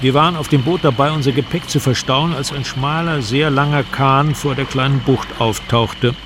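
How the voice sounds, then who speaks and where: An actor playing a traveller who narrates a jungle river journey in a scripted radio drama. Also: male voice, mid-range voice, fairly even intonation, moderate pace, natural voice. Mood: measured, suspenseful. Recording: noisy outdoor ambience behind voice.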